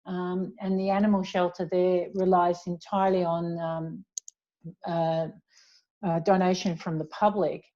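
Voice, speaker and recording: A woman's voice: fairly low pitch, little pitch movement, measured pace, mellow, lo-fi sound. An Australian woman speaking as an author in a conversational interview, chatty and reflective as she explains at length. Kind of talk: conversational author talk, answering questions.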